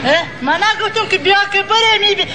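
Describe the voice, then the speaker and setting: A male actor with a Kabul Afghan accent playing a credulous, excitable woman in a stage comedy, exclaiming and eagerly echoing. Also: very high voice, a crowd in the background. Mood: dismayed, admiring. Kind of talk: broad stage comedy acting. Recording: old stage recording with audience noise.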